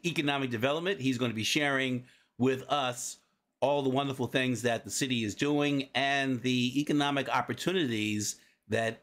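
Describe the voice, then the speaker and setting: A man hosting a live community briefing over a video call, opening the show in a friendly, measured way with a few fillers. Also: medium pitch, fairly bright tone. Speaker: host.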